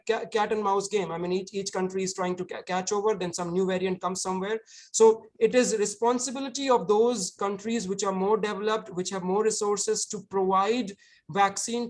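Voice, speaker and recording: A man, an author, answering audience questions at an online book talk, earnest and explanatory, often repeating words as he thinks. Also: high voice.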